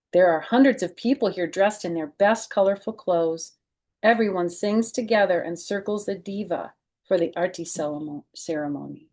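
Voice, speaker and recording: A woman's voice: fairly low pitch, darkish tone. A woman hosting a children's storytime, reading a picture book aloud expressively and adding chatty, informal explanations.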